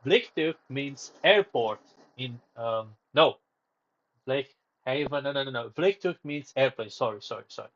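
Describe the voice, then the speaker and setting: Man, small streamer talking solo; wandering, self-correcting delivery at a middle pitch, slightly muffled audio. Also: lively intonation, unhurried, fairly bright tone. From Romania. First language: Romanian. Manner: rambling, self-correcting, drifts off topic. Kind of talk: casual solo livestream chat.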